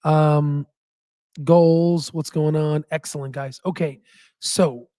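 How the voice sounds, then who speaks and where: A man who hosts a stock market channel, hoarse with his voice nearly gone, talking in a casual rambling way with many fillers and restarts. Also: a little high, steady tone, slow, mellow, no room sound, clean studio sound. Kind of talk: casual chat and stock analysis.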